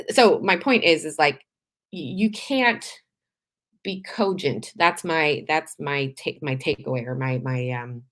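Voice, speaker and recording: A woman from Canada hosting a live show, talking in a chatty, animated way with frequent laughs and restarts, her voice a little tired from days of talking. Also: low voice. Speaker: podcast host. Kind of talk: casual live-stream monologue.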